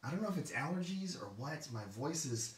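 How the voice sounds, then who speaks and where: A hoarse man hosting a casual livestream for a game store, rambling with many fillers and restarts. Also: fairly high pitch, lively intonation, a little fast, far from the mic. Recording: roomy, mic set back.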